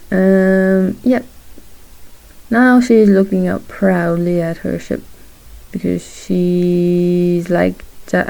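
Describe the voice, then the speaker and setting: Young woman chatting while drawing at home, shy, gravelly voice, keeps pausing and laughing. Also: on the low side, mellow, poor audio. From Morocco. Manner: shy, halting, laughs often. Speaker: vlogger, aspiring character designer. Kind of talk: casual chat while drawing.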